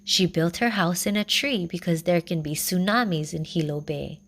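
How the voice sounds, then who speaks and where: A woman reading a children's story slowly and gently. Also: lowish voice. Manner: slow, gentle, even reading. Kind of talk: storybook read-aloud.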